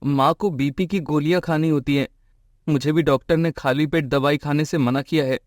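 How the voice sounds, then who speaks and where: A man's voice: a little high, fairly even intonation, moderate pace, no room sound, studio quality, natural voice. A man voicing a meek, ailing young husband in a moral story, pleading and submissive. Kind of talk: acted moral story dialogue for animation.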